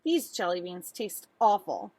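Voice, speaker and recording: A woman's voice: fairly low pitch, sing-song, unhurried, dark tone, no echo, full character voice. A woman voicing a dramatic, demanding little girl from a storybook, exclaiming and complaining. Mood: indignant, complaining.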